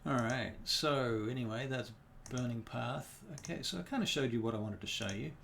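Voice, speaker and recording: A man's voice: medium pitch, creaky, a bit bright, a little hiss or hum. A tired man from New Zealand chatting casually on a livestream as a dungeon master, thinking aloud, muttering and humming to himself, speaking in a put-on accent rather than his own. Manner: deliberately speaking in a put-on non-native accent. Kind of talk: casual livestream chat while working.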